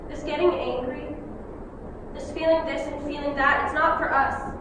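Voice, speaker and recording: A woman's voice: high voice, normal speed, background noise, lightly acted. An actress playing a guarded, blunt woman who answers questions curtly and evasively. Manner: flat, evasive, curt. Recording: echoey hall from far off.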